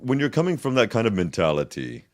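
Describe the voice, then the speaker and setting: A man's voice: lowish voice, big pitch swings, fast, no room sound, clean studio sound. A man from New York City hosting an interview, asking blunt, playful questions and swearing freely. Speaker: podcast host. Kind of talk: casual interview chat.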